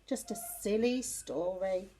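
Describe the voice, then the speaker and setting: A woman's voice: mid-range voice, takes it slowly, dark tone, a little hiss or hum, lightly acted, low-quality recording. A woman voicing young fish classmates in a storybook, childlike and emphatic. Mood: dismissive. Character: young fish classmates, dismissive or admiring of stories.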